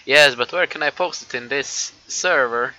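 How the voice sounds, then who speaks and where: A man's voice: mid-range voice, normal speed, bright, forceful tone, no echo. A man streaming a game, chatting casually with viewers, swearing now and then and reading story text aloud. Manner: swears casually, talks to chat. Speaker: live streamer. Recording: dull lo-fi mic with background noise.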